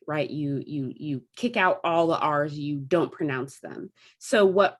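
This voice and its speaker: A woman with an American Midwestern accent explains things in a casual, chatty way, like a theatre member discussing her craft. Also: fairly low pitch. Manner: chatty, demonstrates vowel sounds as she explains. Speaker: theatre company member recounting dialect training.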